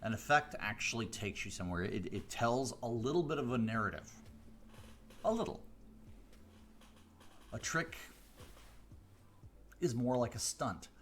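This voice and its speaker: A man from the Chicago area, a mentalist hosting a live stream, chatting casually with viewers on a close studio mic, rambling with fillers and restarts but slowing down with deliberate pauses. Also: mid-range voice, animated, a bit bright, slight background noise. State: voice deeper than usual today. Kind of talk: casual chat answering viewer questions. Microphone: close studio microphone.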